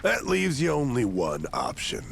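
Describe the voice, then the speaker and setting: A man playing a blunt, hot-tempered veteran Yakuza in a video game, gravelly and confrontational. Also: mid-range voice, huge pitch swings, measured pace, a bit bright, a little hiss or hum, heavily acted. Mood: defiant. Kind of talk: video game cutscene acting.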